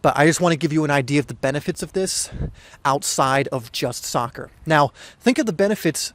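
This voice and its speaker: A young man hosting a soccer podcast, talking casually and earnestly with a hoarse, slightly weak voice and frequent restarts. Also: on the high side, fairly quick, a bit bright, dry recording. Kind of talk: casual solo podcast talk.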